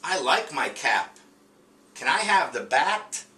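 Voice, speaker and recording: An eager little storybook dog as read aloud by a man, pitched up and bright. The phrasing is simple and measured, as for early readers. It is recorded in a roomy space, away from the mic. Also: big pitch swings, heavily acted. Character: eager dreaming dog from a children's picture book. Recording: roomy, distant mic with faint noise.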